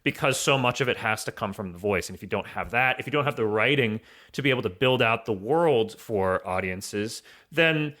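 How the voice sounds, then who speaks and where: A man who creates audio drama, speaking as a podcast guest in a casual, explanatory and deliberate way, repeating the openings of his sentences. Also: medium pitch, animated, fairly bright tone.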